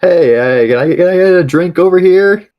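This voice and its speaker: A man's voice: high voice, normal speed, muffled recording, pitched above the performer's own voice, close to an everyday voice. A man voicing a merry, easygoing elf traveler in a tabletop game, chatty and repeating his words. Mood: merry, eager. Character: merry, easygoing green-skinned spring elf traveler, thirsty. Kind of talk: tabletop roleplay character acting.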